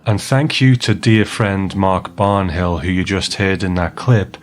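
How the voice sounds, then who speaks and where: Low-voiced man narrating over music. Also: steady tone, measured pace. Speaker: podcast host. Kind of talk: scripted podcast narration.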